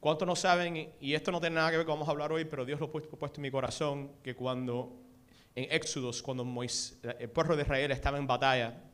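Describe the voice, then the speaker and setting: A man's voice: a little high, a bit bright. Fervent man preaching in a church. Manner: mixes in English words, intense rising prayer. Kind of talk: church sermon and prayer.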